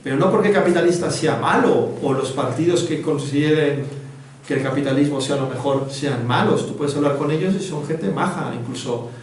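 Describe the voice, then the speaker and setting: A man's voice: a little high, normal speed. A man from Venezuela giving a didactic public talk, often checking in with his listeners. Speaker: book presenter, foundation director. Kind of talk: informal public talk on Marxist theory. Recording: very echoey room, distant mic, faint noise.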